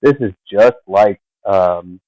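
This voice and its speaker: A man's voice: low voice, takes it slowly, no echo, lo-fi sound. A man rambling casually at his computer, drifting off and filling gaps with fillers as he talks over his own video. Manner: meandering, trails off, many fillers. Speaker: vlogger.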